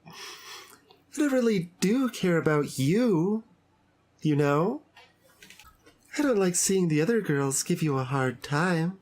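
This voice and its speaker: A woman playing a sweet, earnest high school club president in a visual novel, speaking in a gentle, hesitant, confiding way. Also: animated, slow pace, long pauses. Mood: gentle concern. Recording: music behind the voice. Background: background game music.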